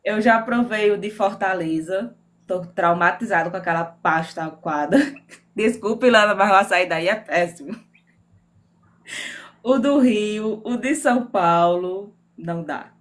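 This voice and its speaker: A woman's voice: medium pitch, unhurried. A woman from Northeast Brazil with a Northeastern Brazilian accent, chatting playfully as a guest author on a live video call from home. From Northeast Brazil, Mossoró. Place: home room with air conditioning.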